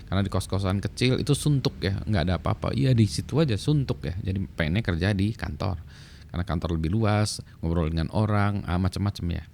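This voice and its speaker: Relaxed middle-aged man, low voice. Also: quick pace, creaky. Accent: Indonesian. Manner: relaxed, many fillers and tag "ya". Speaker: vlogger sharing work experience. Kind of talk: casual explanatory talk.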